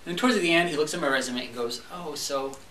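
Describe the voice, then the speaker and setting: A young man from Montreal, a French speaker, tells a funny story to a club audience and slips into the voices of the people in it. His tone is bright and forceful. He is recorded from a distance in a roomy space with faint background noise. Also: a little high, quick pace. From Montreal, Canada. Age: young adult. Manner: storytelling, acting out dialogue. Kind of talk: humorous speech. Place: club meeting room. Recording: echoey room, distant mic.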